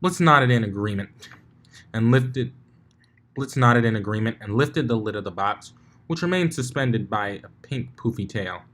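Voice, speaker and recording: A man's voice: on the low side, animated, moderate pace, fairly bright tone. Informal man narrating a story. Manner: informal, stumbling over the text. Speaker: fan fiction reader.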